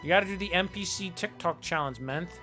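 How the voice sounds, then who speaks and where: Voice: mid-range voice, brisk, sharp tone. A streamer giving casual, joking, flippant commentary over a game. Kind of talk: casual livestream gameplay commentary. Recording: faint game music behind.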